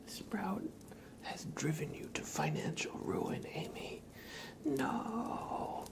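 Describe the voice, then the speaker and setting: A man talks in a soft, breathy ASMR style, slipping often into whispers. His voice has plenty of fry and swings widely in pitch. He chats playfully in short, repeated phrases, as one does to a small pet. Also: huge pitch swings, takes it slowly. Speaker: livestream ASMR host. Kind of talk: ASMR, casual chat with a pet and viewers.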